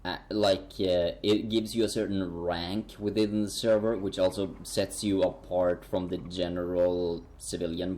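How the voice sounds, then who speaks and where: A man in his twenties interviewing people over casual voice chat, asking halting, filler-heavy questions. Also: low voice, a little mellow. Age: 20s.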